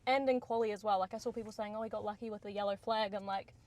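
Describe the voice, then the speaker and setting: A woman's voice: mid-range voice, steady tone, very fast, darkish tone, no room sound. A young woman with a New Zealand accent, chatting enthusiastically as a guest co-host on a podcast. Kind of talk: casual podcast chat.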